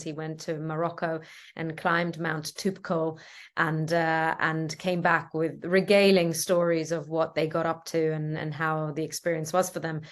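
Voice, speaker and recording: A woman whose first language is Italian, a film director answering interview questions thoughtfully, with frequent fillers and restarts. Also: low voice. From Ticino, Switzerland.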